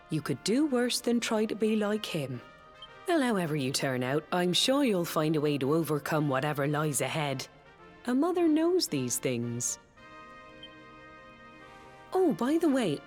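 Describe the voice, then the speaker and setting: An actress playing a homely, plain-spoken British village mother in a video game, with a folksy, fondly chiding way of talking. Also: on the low side. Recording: game music behind.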